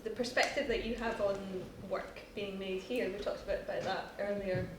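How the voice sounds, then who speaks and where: Woman moderator, halting and filler-heavy questions, far from the mic with a noisy background. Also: medium pitch, fairly even intonation. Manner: hesitant questions with many fillers. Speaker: interviewer. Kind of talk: post-show discussion. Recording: echoey room, distant mic, noisy.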